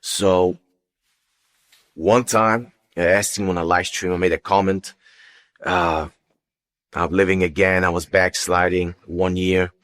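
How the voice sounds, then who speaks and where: Very deep-voiced man giving testimony. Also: flat delivery, slow, long pauses, a bit bright. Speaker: guest giving a personal testimony. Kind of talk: unscripted personal testimony.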